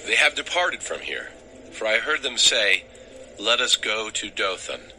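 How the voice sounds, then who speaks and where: An actor playing a helpful stranger in the fields, plain and informative, in a dramatized audio Bible. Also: male voice, fairly low pitch, big pitch swings, unhurried, sharp tone, slight background noise, heavily acted, low-quality recording.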